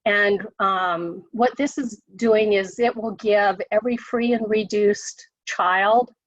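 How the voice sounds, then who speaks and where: A woman official with an American accent, hoarse from a cold, briefing on a conference call, halting with many ums and restarts. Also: medium pitch, steady tone, dry recording. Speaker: state education official. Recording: dry muffled call audio.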